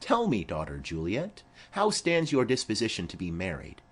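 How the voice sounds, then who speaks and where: A man's voice: on the low side, huge pitch swings, normal speed, slightly rough, exaggerated voice. A man voicing a formal, insistent noblewoman mother in a Shakespeare play reading. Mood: persuasive. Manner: formal, imperative.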